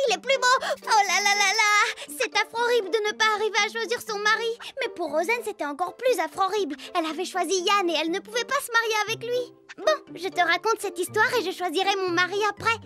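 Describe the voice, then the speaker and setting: A performer voicing a chatty, mischievous little girl who tells stories straight to the listener in a children's cartoon, with music behind. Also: extremely high pitch. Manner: exclamatory, playful, addresses the listener directly. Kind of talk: children's cartoon storytelling to the viewer.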